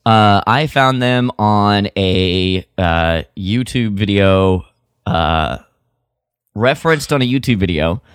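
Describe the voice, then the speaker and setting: Low, slow-paced man with a clear voice. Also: lively intonation, no room sound, studio quality. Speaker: podcast co-host. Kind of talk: casual chat.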